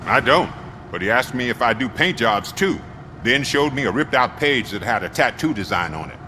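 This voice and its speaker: A chatty, wry garage mechanic for a video game. The delivery swings up and down with a bright edge and an easy, casual manner. Faint noise sits behind it. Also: male voice, medium pitch, very animated, moderate pace. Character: chatty, wisecracking male car mechanic.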